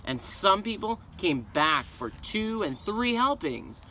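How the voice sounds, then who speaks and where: A man reading a children's story aloud in an expressive, dramatic way. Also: sits high, slow pace, slight background noise. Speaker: storybook reader. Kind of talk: children's read-aloud narration.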